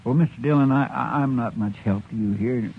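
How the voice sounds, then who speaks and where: A humble, earnest frontier deputy from an old radio western played by a man. He is gravelly and hesitant, deferential in a folksy way, heard on a dull recording with faint noise. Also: medium pitch, brisk, lightly acted. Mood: sheepish, downcast. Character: humble, loyal, injured male deputy, earnest and apologetic. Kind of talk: 1950s radio western acting.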